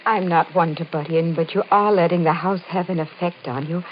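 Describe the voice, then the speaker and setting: An actress playing a respectful, concerned house servant in an old-time radio horror play, speaking earnestly. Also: female voice, fairly low pitch, steady tone, fairly quick, natural voice. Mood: concerned, deferential. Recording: noisy, muffled old radio recording.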